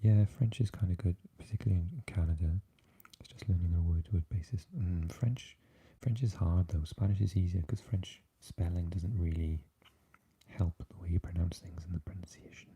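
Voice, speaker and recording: A man with a Swansea Welsh accent, chatting softly with live viewers and often dropping into an unvoiced whisper. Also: steady tone, moderate pace, slight background noise, low-quality recording. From Wales. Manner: mixes unvoiced whispering with low, deep speaking. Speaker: ASMR live streamer reading chat. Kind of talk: soft-spoken live chat with viewers.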